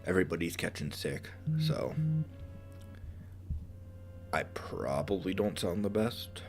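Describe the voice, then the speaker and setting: A man with a low, congested voice talks unhurriedly to his stream audience while fighting off a cold. He stumbles and apologizes often, filling gaps with ums, over faint background music. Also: gravelly. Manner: halting and apologetic, with many ums. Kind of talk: casual gaming stream chat.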